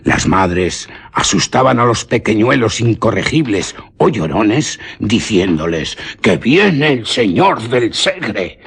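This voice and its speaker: A low-voiced man with a very husky, rough grain narrates a gothic radio drama. He takes his time over each literary phrase, with theatrical rises and falls. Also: big pitch swings. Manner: theatrical, deliberate, literary delivery. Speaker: narrator of a radio horror story.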